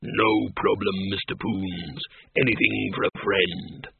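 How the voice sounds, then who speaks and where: A man voicing a huge, hairy, friendly male bogeyman in an audiobook. He talks in a slow, easygoing, obliging way. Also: on the low side, wildly swooping pitch, vocal fry, slight background noise, pitched below the performer's own voice, full character voice. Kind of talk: audiobook character voice. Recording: narrow phone-like sound.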